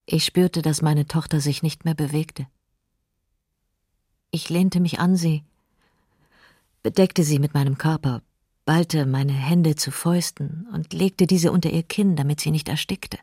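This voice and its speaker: Mother testifying, really low dark voice, husky, restrained radio drama. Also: steady tone, measured pace, long pauses, no room sound, clean studio sound, exaggerated voice. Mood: subdued, grave. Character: mother who survived a massacre shielding her daughter.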